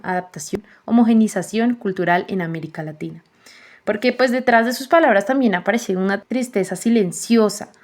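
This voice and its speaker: A woman's voice: medium pitch, a little mellow. Earnest young woman reflecting as host. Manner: earnest, measured, many "pues" fillers. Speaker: podcast host and narrator. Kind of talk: reflective voice-over narration.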